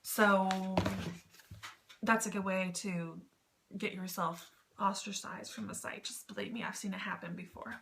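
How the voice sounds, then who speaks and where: A woman's voice: mid-range voice, fairly even intonation, measured pace, gravelly, background noise. A woman vlogger giving informal advice in a rambling, slightly self-conscious way. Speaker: YouTube vlogger. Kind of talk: casual advice vlog on online text roleplay.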